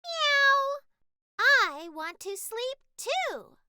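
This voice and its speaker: A woman's voice: very high voice, sing-song, extremely slow, bright, forceful tone, no room sound, clean studio sound, voice pushed up, full character voice. A woman voicing a sleepy, meowing cat in a children's storybook play. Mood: sleepy, pleading.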